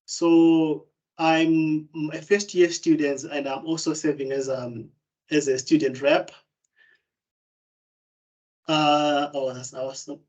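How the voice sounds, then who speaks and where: Young man from Zimbabwe, student presenter, hesitant with fillers, fairly high voice, video-call mic. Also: flat delivery, slow, pauses often, a little mellow, highs cut off. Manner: hesitant, many fillers and restarts. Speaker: student speaker. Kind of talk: online open day presentation.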